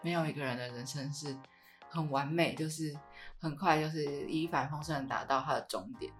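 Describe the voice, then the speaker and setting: A woman's voice: sits low, a little fast, soft background music. A woman with a Taiwanese Mandarin accent hosting a podcast in a casual, conversational way. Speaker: podcast host.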